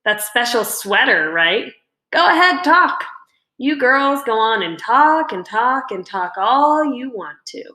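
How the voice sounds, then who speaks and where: A woman's voice: on the high side, very animated, slow pace, sharp tone, exaggerated voice. A woman reading a children's storybook, playing an annoying, cocky schoolboy with a mocking, needling manner. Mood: mocking, teasing. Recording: roomy indoor echo.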